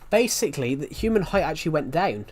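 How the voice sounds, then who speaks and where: A man's voice: a little high, very animated, quick pace. Rambling young man who repeats himself. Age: 20s. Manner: rambling, repeats each phrase before going on. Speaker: streamer. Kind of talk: rambling casual livestream chat.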